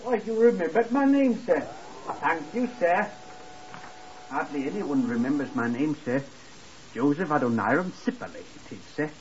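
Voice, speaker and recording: A man's voice: a little high, animated, moderate pace, darkish tone, natural voice. An actor playing a naive, talkative hotel lift boy from Lancashire, eager and deferential, in a 1950s radio drama. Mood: eager, chatty. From Blackpool, Lancashire, England. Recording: muffled, hissy 1950s radio recording.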